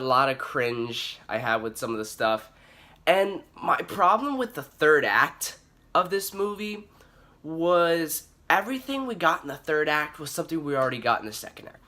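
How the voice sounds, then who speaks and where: A man with a raspy voice giving a casual, opinionated movie review in an emphatic, exasperated way. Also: mid-range voice, pushed and bright.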